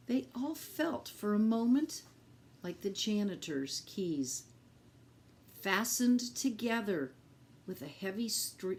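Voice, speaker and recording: A woman reading a picture book aloud to children in an expressive, deliberate teacherly way, speaking directly to her young listeners. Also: medium pitch, creaky, slight background noise.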